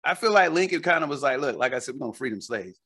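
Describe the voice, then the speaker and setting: A man's voice: a little high, sing-song, racing, a bit bright, breathy edge. A man in his forties co-hosting a podcast, chatting casually and telling jokey stories. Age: 40s. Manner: jokey, drawling storyteller. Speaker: podcast co-host. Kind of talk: casual chat.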